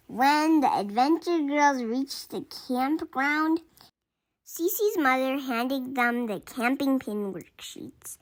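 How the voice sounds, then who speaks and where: A woman reads a children's picture book aloud in a fairly high voice. Her pitch moves a great deal, and she takes the story slowly, acting out the characters' lines expressively. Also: fairly bright tone.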